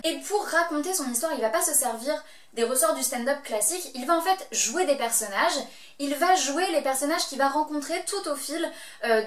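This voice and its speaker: Belgian lady vlogger, high bright voice, teasing and chatty, roomy echo. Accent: Belgian French. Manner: playful, teasing, talks straight to viewer. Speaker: vlog host reviewing shows. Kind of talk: chatty show review vlog.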